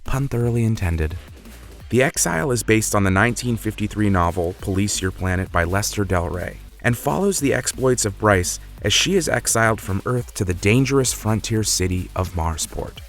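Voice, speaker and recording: A man's voice: on the low side, animated, normal speed. A man hosting a podcast, delivering a scripted introduction in a polished, earnest way. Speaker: podcast creator and host. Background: music.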